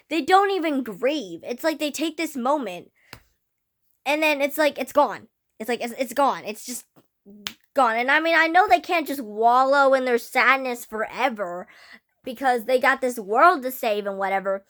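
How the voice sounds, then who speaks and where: A teenage girl ranting casually about a film to camera, rambling with many fillers, her voice slightly hoarse. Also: high-pitched, lively intonation, moderate pace, fairly bright tone. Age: teens. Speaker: vlogger. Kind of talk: casual fan rant to camera.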